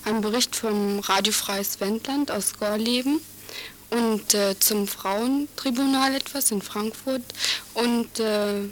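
A woman presenting a community radio programme, introducing the show's topics in a halting way with frequent fillers. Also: fairly high pitch, a little hiss or hum, poor audio. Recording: music playing behind.

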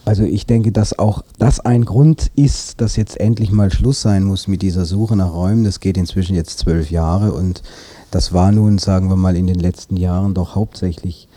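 A man giving spontaneous interview answers as a spokesman, deliberate and often pausing mid-sentence. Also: sits low, steady tone, mellow, no room sound. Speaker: arts association spokesman, interview guest.

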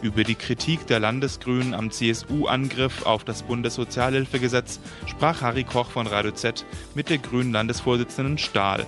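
A man's voice: mid-range voice, fairly even intonation, moderate pace, few pauses. A man presenting a radio news magazine, reading scripted introductions over music. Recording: music bed under the voice.

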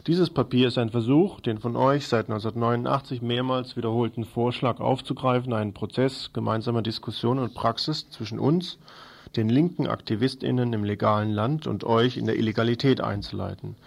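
Creaky-voiced man presenting radio news. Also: mid-range voice, steady tone, a little fast. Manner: informal, addresses listeners familiarly. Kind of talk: loosely read radio news overview, partly improvised.

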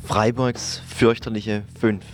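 A man's voice: fairly low pitch, level tone, takes it slowly. A man announcing the radio news and its headlines as a station announcer, with music under his voice. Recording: loud guitar music under the voice. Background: loud guitar music.

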